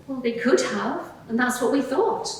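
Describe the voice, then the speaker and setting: A woman's voice: high voice, expressive, normal speed, a little hiss or hum. A woman playing an earnest, devoted witness giving brief, hesitant, candid answers in a dramatised courtroom hearing. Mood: subdued, candid. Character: earnest female witness, devoted follower of Jesus. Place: large reverberant courtroom. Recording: echoey hall, distant microphone.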